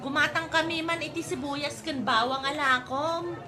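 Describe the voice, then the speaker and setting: A woman's voice: high voice, fairly even intonation, normal speed, heavy background noise, close to an everyday voice. A woman playing a coy, playful unmarried market vendor in an Ilocano radio comedy drama, with an Ilocano accent. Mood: giddy. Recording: music behind the voice.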